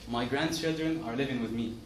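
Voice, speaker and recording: A man giving a prepared motivational storytelling speech in short, simple, deliberate sentences. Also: medium pitch. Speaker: club member giving a speech. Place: meeting room, recorded from a distance. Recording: echoey room, distant mic, noisy.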